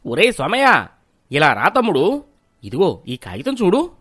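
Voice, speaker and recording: A man's voice: on the high side, wildly swooping pitch, a little slow, a bit bright, dry recording, studio quality, heavily acted. A man playing an elder brother and henpecked husband in a moral story, talking in a placating way. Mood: falsely solemn.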